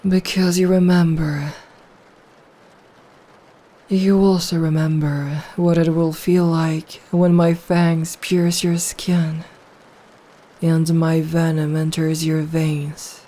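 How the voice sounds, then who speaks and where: A woman playing a seductive, possessive female vampire in an intimate, hypnotic ASMR roleplay, hushed and deliberate. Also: deep voice, flat delivery. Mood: possessive, menacing.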